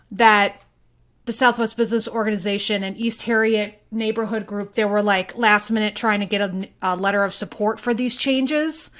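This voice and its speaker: A woman speaking informally and playfully as a local reporter in a casual, animated podcast conversation. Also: medium pitch.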